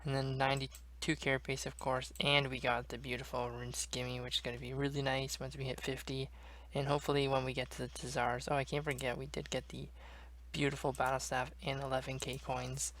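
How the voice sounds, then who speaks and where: A man's voice: mid-range voice, little pitch movement, brisk, fairly bright tone. A man giving casual commentary over his own gameplay, chatting directly to his viewers as he plays. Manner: chatty, addresses viewers as guys. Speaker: gaming video creator. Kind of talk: casual let's-play commentary.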